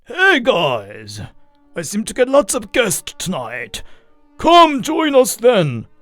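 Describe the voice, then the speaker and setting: A man's voice: sits high, wildly swooping pitch, slow, fairly bright tone, no echo, soft background music, voice pushed up, exaggerated voice. A man voicing a volatile alcoholic father in a dramatized story, raving and fawning. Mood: effusive, fawning.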